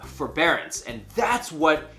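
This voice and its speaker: A man's voice: fairly high pitch, slow, faint music behind. A man, co-hosting a casual chat, retelling a story in slangy speech and acting out quotes. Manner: animated, slangy, acts out imagined quotes. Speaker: co-host of a news chat show. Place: small furnished room indoors, on a couch.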